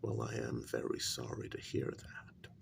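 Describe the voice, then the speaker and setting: A man in a soft-spoken ASMR role-play as a calm, grave psychologist, talking in a measured, halting, breathy half-whisper with gravel in it. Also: extremely low pitch, a little hiss or hum. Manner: deliberate, measured, halting phrases. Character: calm, grave male psychologist and spiritualist counselling a parent.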